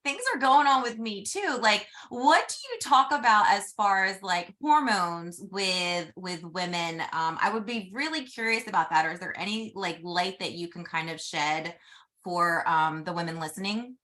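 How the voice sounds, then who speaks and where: Bright chatty woman in her 40s, podcast host, quick asides. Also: medium pitch. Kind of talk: casual chat.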